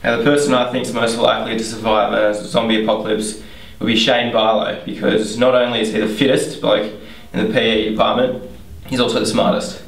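A late-teen student answering interview questions hesitantly, with lots of ums, into a handheld mic in a classroom. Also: male voice, fairly low pitch, level tone, brisk. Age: late teens. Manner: hesitant, full of ums and uhs. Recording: echoey room, distant mic, faint noise.

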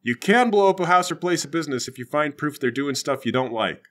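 A man's voice: on the high side, lively intonation, a little fast, fairly bright tone. A man bantering casually and comically as a player in a tabletop game.

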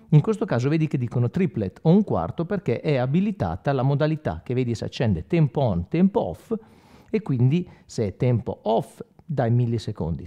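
A man's voice: mid-range voice, mellow, lo-fi sound. A man in his forties explaining effects pedals in an informal, conversational tutorial, often checking that the listener follows. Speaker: guitarist demonstrating effects pedals. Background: electric guitar playing.

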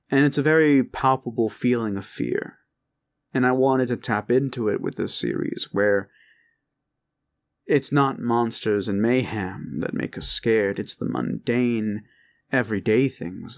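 Male host, gravelly mid-pitched voice, earnest informal address to the audience. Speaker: audio roleplay creator and host. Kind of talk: informal heartfelt address to listeners.

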